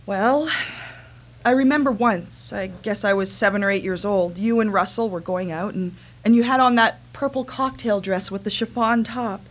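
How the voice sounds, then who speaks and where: A woman playing a resentful adult daughter with a halting, earnest delivery in a staged dramatic reading. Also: mid-range voice, close to an everyday voice. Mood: hurt recounting. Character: wounded, resentful adult daughter confronting her mother. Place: small dimly lit stage venue. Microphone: microphone on a stand.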